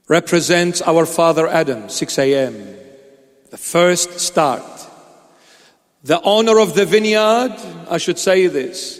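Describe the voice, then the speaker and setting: A man preaching a sermon, emphatic and repetitive, asking rhetorical questions with playful asides. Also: high-pitched. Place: church hall. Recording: long reverb of a large hall.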